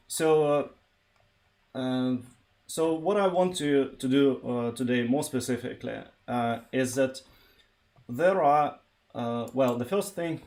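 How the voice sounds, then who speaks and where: A man from eastern Ukraine, a native Russian speaker with a Russian accent, talking informally and haltingly on a tech livestream. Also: mid-range voice, a little mellow. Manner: halting, searching for words. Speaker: live streamer, software developer.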